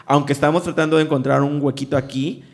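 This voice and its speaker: A man's voice: fairly high pitch, little pitch movement, quick pace. Mexican man with a Veracruz accent. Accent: Mexican Spanish, Veracruz. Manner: friendly, laughs often, many fillers. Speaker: interview guest. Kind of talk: casual interview conversation.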